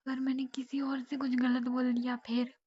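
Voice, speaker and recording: A woman's voice: high-pitched, monotone, normal speed, mellow, breathy edge, no room sound. A young woman chatting casually and intimately with her partner on a phone call, in a questioning, teasing way. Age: young adult. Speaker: girlfriend. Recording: muffled lo-fi phone call recording.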